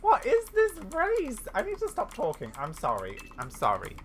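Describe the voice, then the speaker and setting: A woman giving casual, excitable gaming commentary, rambling and interrupting herself as she plays. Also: fairly high pitch, moderate pace. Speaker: gaming video host. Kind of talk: casual let's play commentary.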